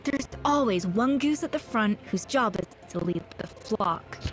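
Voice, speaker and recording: A woman voicing an earnest, exacting young female chef in a video game, speaking in a measured, reflective and firm way. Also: medium pitch, lightly acted. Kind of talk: scripted video game voice acting. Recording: game music behind the voice.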